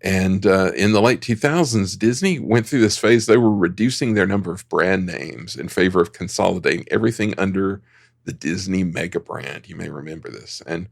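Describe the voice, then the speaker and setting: Deep gravelly-edged man, close dry sound. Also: moderate pace, no room sound. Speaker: podcast panelist. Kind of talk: informal history presentation.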